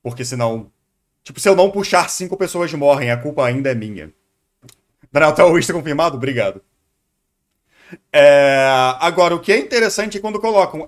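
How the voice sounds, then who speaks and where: A man with a Brazilian Portuguese accent giving casual live-stream commentary, hesitating and repeating words as he thinks aloud. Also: a little high. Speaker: streamer reacting to a video.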